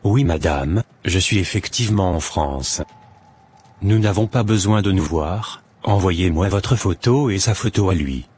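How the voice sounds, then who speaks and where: A man's voice: low-pitched, animated, unhurried, darkish tone, breathy edge, exaggerated voice. A man playing a formal, matter-of-fact male fortune-teller who sells love spells in a cartoon. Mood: businesslike. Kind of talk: scripted cartoon dialogue.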